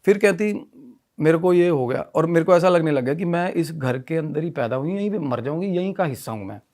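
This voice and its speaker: Higher-pitched man telling a story conversationally. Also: animated, fairly quick, a little mellow. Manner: repetitive, mixes Hindi with English phrases. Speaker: podcast guest telling a ghost story.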